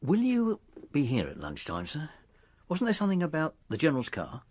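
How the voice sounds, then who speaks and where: A man's voice: on the high side, huge pitch swings, brisk, a little mellow, slight background noise. An actor playing a deferential, plain-spoken British male warder in a radio drama, matter-of-fact in manner. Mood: brisk, deferential.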